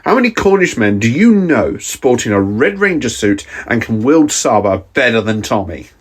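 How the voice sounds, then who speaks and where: A cocky superhero ranger played by a man with a husky, very animated voice. The lines are bragging dialogue from a fan audio drama. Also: medium pitch, normal speed.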